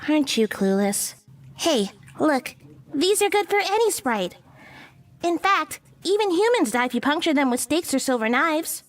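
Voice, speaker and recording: A woman voicing a brisk, teasing young female monster hunter in a visual novel game, blunt and matter-of-fact. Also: high-pitched, sing-song, distant mic, soft background music. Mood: teasing, impatient.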